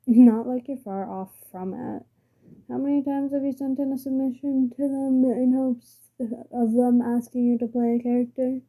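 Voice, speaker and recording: A woman's voice: high-pitched, normal speed, voice pushed up, rough recording. A woman voicing a teasing, extroverted young female actor in a storybook reading. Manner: teasing, energetic.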